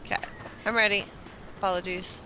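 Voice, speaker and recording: A woman's voice: medium pitch, steady tone, brisk. A woman giving casual live gameplay commentary, murmuring as if talking to herself. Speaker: game streamer. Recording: clear background noise.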